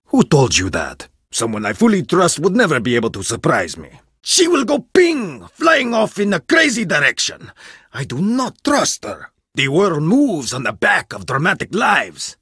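A man's voice: fairly high pitch, wildly swooping pitch, normal speed, fairly bright tone, slightly rough, no room sound, clean studio sound, exaggerated voice. A man voicing a stern, proud archaeologist in a video game, blunt, haughty and distrustful, with dramatic swings in how he delivers each line. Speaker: game character voice.

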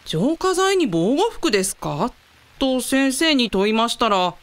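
Woman acting earnest young female researcher, very animated. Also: a little high, unhurried. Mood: earnest, explaining. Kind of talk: radio drama acting.

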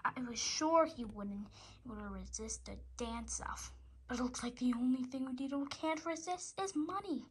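A female reader haltingly reading a children's comic book aloud, slipping over words. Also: sits high, slight background noise.

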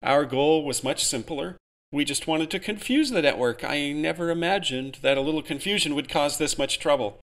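A man's voice: fairly high pitch, normal speed, a bit bright, voice pushed up. A man voicing a defiant, sarcastic young rebel woman in a storybook reading. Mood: earnest. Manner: sarcastic, rousing.

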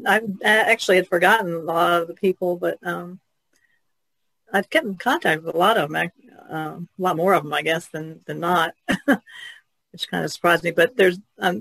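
A woman's voice: lowish voice, creaky, no echo, low-quality recording. A woman chatting casually as a podcast guest, hesitant and understated in how she talks. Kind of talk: casual chat.

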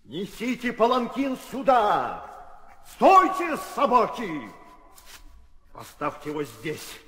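An actor playing a bullying, pompous rakshasa demon herald who barks abusive commands in an old theatrical audio drama. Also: male voice, high voice, wildly swooping pitch, takes it slowly, sharp tone, full character voice. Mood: bullying, barking. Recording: echoey hall reverb.

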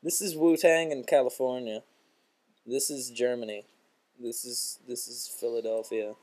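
A man's voice: mid-range voice, fairly even intonation, unhurried, a little mellow. A young man joking crudely and casually with friends, recorded on a laptop microphone on a couch. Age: young adult. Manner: crude, profane banter. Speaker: home video maker among friends. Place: small lamp-lit basement living room, on a couch. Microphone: laptop's built-in microphone at arm's length.

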